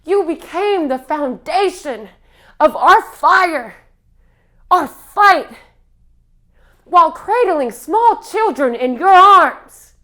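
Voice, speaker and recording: A woman delivers a theatrical monologue in a high voice. She speaks slowly and deliberately, leaving dramatic pauses between phrases. Her delivery is solemn and impassioned, in the manner of spoken word on stage. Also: lively intonation, sharp tone. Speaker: monologue performer.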